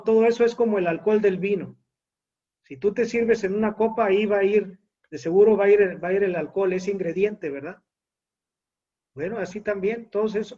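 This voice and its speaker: A man's voice: high voice, warm tone, highs cut off. A man leading a church discussion who explains doctrine in an explanatory way, often checking in with "right?".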